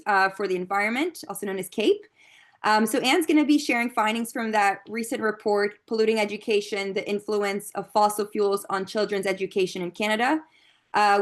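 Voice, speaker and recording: Young woman, friendly meeting host on a video call, mid-range voice. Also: medium pitch. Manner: friendly, with frequent um and uh. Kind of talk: hosting an online meeting.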